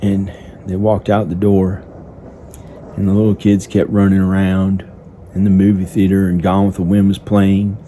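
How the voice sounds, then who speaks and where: A man improvising a first-person story in a halting, simple, repetitive manner, with hesitant pauses. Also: low-pitched, monotone, dark tone. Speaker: amateur storyteller narrating own improvised story. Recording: noticeable background noise. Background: ambient sound effects.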